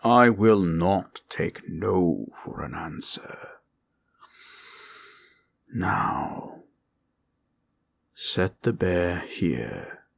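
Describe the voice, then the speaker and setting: A man voicing a gentle, protective dragon in an intimate roleplay, speaking formally and solemnly, with a gravelly, sometimes breathy voice. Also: low-pitched, animated, full character voice. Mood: calm, measured. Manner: slow, solemn, archaic formal phrasing. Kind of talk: intimate comfort roleplay audio.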